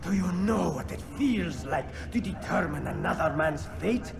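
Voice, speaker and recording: An actor playing a cruel, zealous crusade-era tyrant in a video game, speaking in a grandiose, declamatory manner. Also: male voice, sits high, lively intonation, moderate pace, creaky. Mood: gloating, unrepentant. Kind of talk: video game dramatic acting, oratory. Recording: loud music behind.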